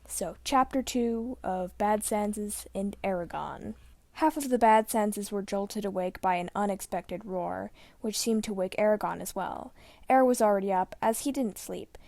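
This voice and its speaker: A woman's voice: medium pitch, mellow, dry recording. A woman reading fan fiction aloud as the narrator, telling the story dramatically. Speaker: podfic narrator.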